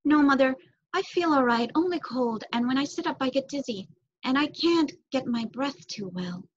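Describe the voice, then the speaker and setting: A frail little boy mouse from a storybook, acted by a woman reader. His voice is raised high and husky, with a weak, plaintive manner. He sounds poorly but thoughtful. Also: expressive, moderate pace. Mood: feeble, plaintive. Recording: dull lo-fi recording.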